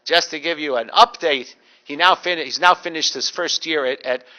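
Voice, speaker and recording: A man's voice: fairly high pitch, moderate pace, poor audio. A middle-aged man, a rabbi giving a public talk, telling stories with dry humor and mimicking the people in them. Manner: animated, mimics voices in anecdotes. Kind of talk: storytelling lecture with dry humor.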